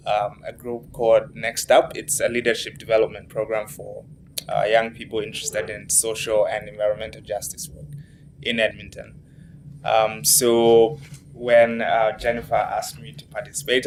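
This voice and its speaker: A man's voice: fairly low pitch, a little hiss or hum, low-quality recording. A young man from Zambia introducing himself on a panel in a hesitant, modest way. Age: young adult. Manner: hesitant, modest, many fillers. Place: conference room.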